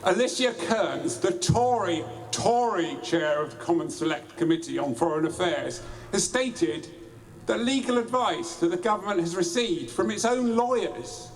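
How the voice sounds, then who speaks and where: Slow deliberate man at an outdoor rally. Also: sits high. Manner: slow, deliberate, with small restarts. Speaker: rally speaker. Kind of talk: outdoor protest speech.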